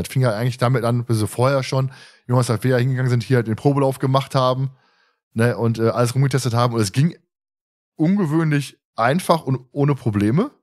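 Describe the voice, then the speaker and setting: A man hosting a podcast in a casual, chatty way, often chuckling as he tells stories. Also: mid-range voice. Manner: chatty, often chuckles while telling anecdotes. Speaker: podcast host.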